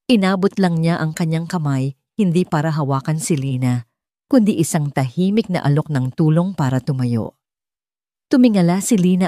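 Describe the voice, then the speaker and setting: Low-voiced Filipino woman narrating drama. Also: warm tone, no room sound, clean studio sound. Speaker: audiobook narrator. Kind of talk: dramatic scripted story narration.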